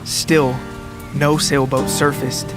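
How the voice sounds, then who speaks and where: A man reading a scripted literary story as a dramatic narrator, with deliberate, descriptive phrasing. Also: medium pitch, flat delivery. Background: music and rain sounds.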